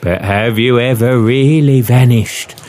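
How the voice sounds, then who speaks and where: A man's voice: medium pitch, unhurried, a little mellow, close to an everyday voice. A man voicing a brash young Cockney woman, delivering fairground barker's patter in a comic audio drama. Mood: teasing.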